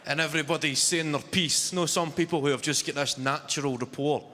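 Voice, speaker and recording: A man in his twenties with a Glaswegian Scottish accent, telling deadpan stories in a stand-up set, swearing freely. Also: on the high side, fairly quick, big-room sound. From Scotland. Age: 20s. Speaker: stand-up comedian. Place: large arena on stage. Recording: live arena with loud crowd. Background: audience laughter.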